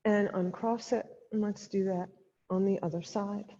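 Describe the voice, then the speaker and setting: A woman leading a guided chair yoga class as the instructor, giving gentle step-by-step cues with pauses, in a muffled, reverberant recording. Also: medium pitch, steady tone, slight background noise. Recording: dull sound in a long-reverb hall.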